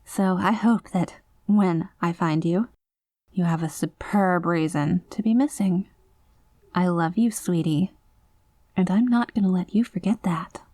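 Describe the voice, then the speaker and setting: A woman's voice: on the low side, unhurried, warm tone, dry recording. A woman playing an obsessive, possessive girlfriend in a scripted audio roleplay, theatrical and sugary with an edge of threat. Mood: tense, threatening. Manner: saccharine pet names laced with threats, theatrical. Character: obsessive possessive yandere girlfriend, sweetly menacing, long reformed, unraveling. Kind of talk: scripted ASMR roleplay audio drama, voicemail monologue.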